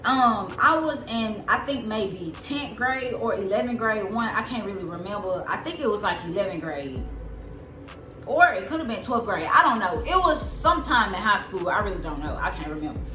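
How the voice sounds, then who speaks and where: A woman in her twenties with a soft, squeaky voice, telling a story in a casual, rambling way with lots of fillers. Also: expressive, moderate pace. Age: 20s. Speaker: vlogger. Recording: roomy echo with loud music behind. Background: music.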